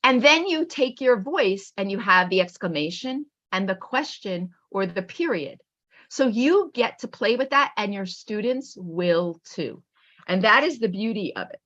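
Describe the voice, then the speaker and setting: A middle-aged woman presenting a teacher training webinar in an expressive, encouraging and emphatic way. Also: medium pitch, unhurried. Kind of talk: teaching webinar with animated picture-book reading. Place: at home on an online video call. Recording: dull, lo-fi online call audio.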